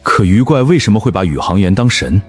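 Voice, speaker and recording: A man voicing a sharp, probing teammate who questions things in an animated survival drama. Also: fairly low pitch, fairly quick, slightly rough, soft background music.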